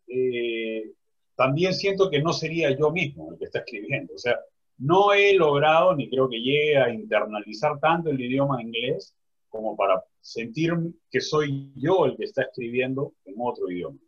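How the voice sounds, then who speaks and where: A man's voice: mid-range voice, animated, moderate pace, slightly rough, highs cut off. A man talking reflectively in a panel discussion over a video call, often restarting his phrases. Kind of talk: casual discussion.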